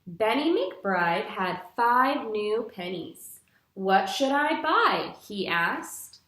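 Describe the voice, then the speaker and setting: A woman in her twenties reading a picture book aloud to young children like a teacher, with deliberate, clearly phrased, instructive storytelling. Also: medium pitch, sing-song, fairly bright tone. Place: small living room, indoors. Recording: roomy indoor echo.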